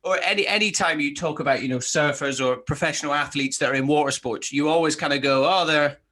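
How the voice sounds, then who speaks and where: A man with a bright, forceful voice pitched a little high, hosting a podcast interview. He chats informally and with enthusiasm, like he is talking to a mate. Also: fast. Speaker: podcast host. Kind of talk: casual interview chat.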